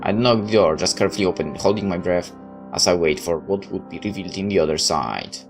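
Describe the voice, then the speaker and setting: A man with a low voice reads a first-person story aloud. His delivery is dramatic and expressive, a little halting, with music playing behind him. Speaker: video game player reading a visual novel aloud.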